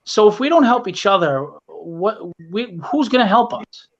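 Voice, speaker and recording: High-voiced man, earnest and blunt. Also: expressive, moderate pace, gravelly. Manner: earnest, blunt, salty language. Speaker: guest, independent comic writer. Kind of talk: casual, opinionated podcast talk. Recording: dull, lo-fi online call audio.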